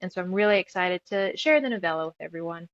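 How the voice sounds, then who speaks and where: A woman's voice: on the low side, lively intonation, fast talker, a bit bright, no room sound, dull audio. A woman chatting casually as an interview guest, talkative and self-deprecating. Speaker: guest author and musician.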